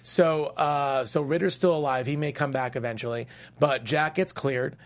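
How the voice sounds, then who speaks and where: A man's voice: mid-range voice, fairly even intonation, moderate pace. A man co-hosting a casual TV recap chat, rambling and restarting his sentences. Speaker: TV recap show co-host.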